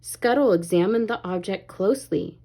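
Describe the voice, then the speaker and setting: A woman reading a children's story aloud in an expressive, animated way, as if to young listeners. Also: mid-range voice, moderate pace. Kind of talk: expressive read-aloud narration, pausing to ask children questions.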